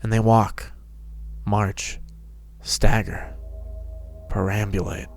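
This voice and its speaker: Low, even male story narrator. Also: slow, faint music behind. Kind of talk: dramatic scripted story narration.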